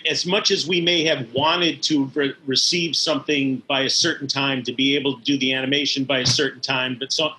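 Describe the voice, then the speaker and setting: A man's voice: on the high side, sharp tone, slight background noise, low-quality recording. A middle-aged or older man, an interview guest recalling his work in animation with emphatic enthusiasm. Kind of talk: conversational recollection.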